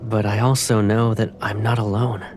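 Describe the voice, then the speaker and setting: A man playing a confident, tender young pilot in a romantic game drama, speaking softly and intimately. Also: on the low side, little pitch movement, normal speed, a little hiss or hum, close to an everyday voice. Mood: pensive, quiet.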